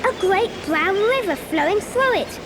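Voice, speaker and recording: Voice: very high-pitched, animated, unhurried, background noise. An actor playing a polite, wide-eyed young boy in an audio dramatisation, speaking with earnest wonder. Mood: awestruck.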